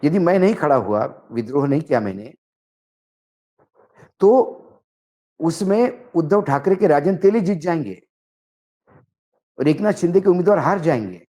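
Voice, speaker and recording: A man giving political commentary, speaking emphatically and deliberately in short phrases as he recounts dialogue. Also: a little high, big pitch swings, mellow. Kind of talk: political commentary, narrating and translating a reported conversation.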